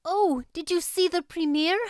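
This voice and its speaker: A woman voicing an excitable, talkative young girl with a gushing, dramatic, flowery manner in an audiobook. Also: extremely high pitch, moderate pace, no room sound, clean studio sound, pitched above the performer's own voice. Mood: eager excitement. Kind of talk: audiobook character voice.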